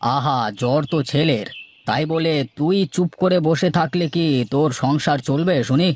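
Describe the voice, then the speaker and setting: A man's voice: on the high side, normal speed, a little hiss or hum, close to an everyday voice. A man playing a blunt but kindly older village boss in a cartoon, practical and admonishing. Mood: scolding. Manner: admonishing, practical, nagging rhetorical questions. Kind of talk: cartoon story dialogue.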